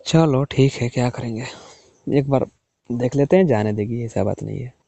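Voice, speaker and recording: A man's voice: moderate pace, gravelly, mellow, no room sound. A young man in a casual private chat on a phone call. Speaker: caller. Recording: muffled low-fidelity phone call recording.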